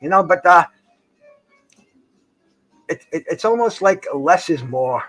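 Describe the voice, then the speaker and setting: An older man, in his sixties or beyond, hosting a casual live stream in slangy, profane, halting speech with repeated words. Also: a little high, expressive, fairly bright tone, rough recording. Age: 60s or older. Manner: slangy, profane, says "man" and "bro" often. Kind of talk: rambling casual chat to livestream viewers. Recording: jazz music playing behind the voice. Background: jazz concert recording.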